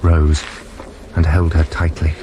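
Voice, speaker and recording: A timid, naive young German geology student narrates a radio adventure. The voice is low and earnest, with a German accent, over clearly audible noisy effects. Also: male voice, completely flat delivery, slow pace. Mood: wistful. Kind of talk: dramatised first-person narration. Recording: 1990s radio broadcast with noisy effects behind.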